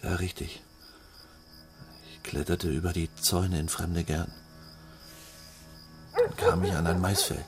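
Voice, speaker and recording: A man narrating a suspenseful story in a hushed way. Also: low-pitched, fairly even intonation, measured pace, pauses often, faint music behind.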